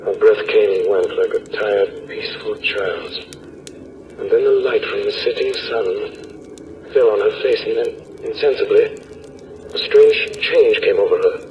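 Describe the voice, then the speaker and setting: A low-voiced, earnest doctor played by a man narrates a gothic diary in 1930s radio style. The delivery is dramatic and deliberate, heavy with dread. The old broadcast is muffled, with some background noise. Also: natural voice. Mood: grave, uneasy. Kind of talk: 1930s radio horror acting.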